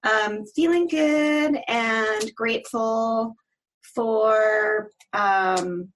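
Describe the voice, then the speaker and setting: A woman's voice: fairly high pitch, very slow, a bit bright, slight background noise. A woman giving an informal, appreciative personal update on a group call. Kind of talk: casual personal check-in.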